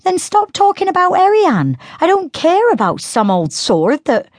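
A bright, mocking young sister, put on by a woman reading a storybook, her voice lifted well above the narrator's own. She teases in quick jabs, pitched high and swooping. The sound is close and dry. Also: huge pitch swings, dry recording, exaggerated voice. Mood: teasing. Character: young sister, teasing and sharp-tongued.

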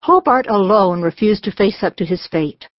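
A woman's voice: mid-range voice, lively intonation, normal speed, no echo, highs cut off. A woman narrating a scripted children's audiobook.

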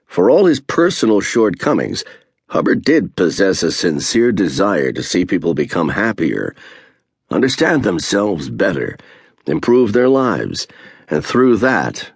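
Gravelly low-voiced male audiobook narrator. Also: no echo, studio quality. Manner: measured, earnest first-person reading with slowed emphasis on key phrases. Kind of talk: scripted memoir narration.

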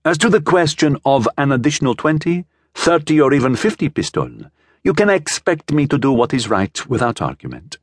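A man voicing an impatient, insinuating gentleman schemer in an audiobook adventure tale. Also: mid-range voice, sing-song, normal speed, no room sound, studio quality, voice pushed up. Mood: smooth, businesslike. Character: smooth impatient cloaked gentleman hiring a killer.

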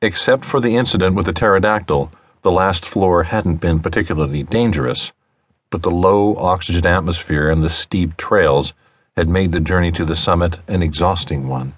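Deep-voiced man narrating fiction. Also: moderate pace, husky. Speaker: audiobook narrator.

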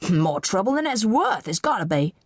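A woman voicing a broad, gruff, middle-aged male farmer in an audiobook, blunt and combative, with colloquial country speech. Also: medium pitch, very animated, moderate pace, dry recording, studio quality, pitched above the performer's own voice. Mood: grudging.

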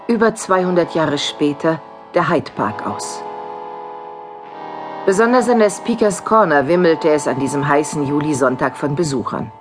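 A woman narrates an audio drama from a script. Her voice is low for a woman, and music plays strongly behind her. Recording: prominent music bed behind.

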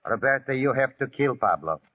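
A sneaky, boastful guerrilla scout, played by a male actor, husky and swooping in pitch. He talks with conspiratorial urgency in 1940s radio style over a tinny recording. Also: animated, fairly quick, close to an everyday voice. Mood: urgent.

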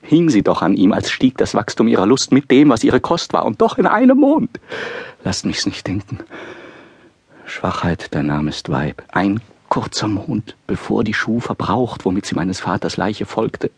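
Casting: a tormented young prince in soliloquy, gravelly, swinging widely in pitch, close dry stage classic. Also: male voice, very animated, moderate pace, a little mellow, no room sound, heavily acted. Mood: anguished, outraged. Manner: impassioned, volatile soliloquy delivery. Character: anguished, disgusted young prince thinking aloud. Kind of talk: classical audio drama acting.

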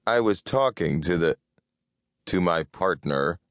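A man's voice: low-pitched, expressive, slow pace, no echo, full character voice. A man voicing a sardonic, grumpy male detective with a dry, irritable delivery, in an audiobook. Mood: defensive.

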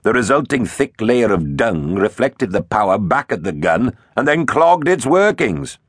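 A brainy, earnest dinosaur officer aboard a storybook spaceship, as a male reader plays him. He explains things precisely, with a widely varied, expressive pitch. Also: measured pace, breathy edge, no echo, clean studio sound. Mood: analytical.

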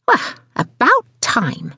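A woman's voice: slow, bright, forceful tone, no echo, studio quality. A woman voicing a haughty, theatrical café customer in an audiobook. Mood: irate, snapping. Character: irate, haughty female café customer turned gushing fan.

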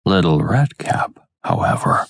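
A man's voice: very deep voice, wildly swooping pitch, unhurried, creaky, breathy edge, no echo. A man narrating a children's fairy tale as an audiobook reader.

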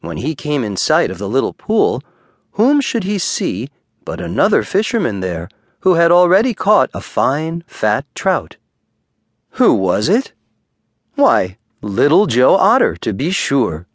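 Animated man reading a children's story. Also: medium pitch, no echo, clean studio sound. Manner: expressive, deliberate storytelling. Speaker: audiobook narrator. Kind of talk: children's bedtime story narration.

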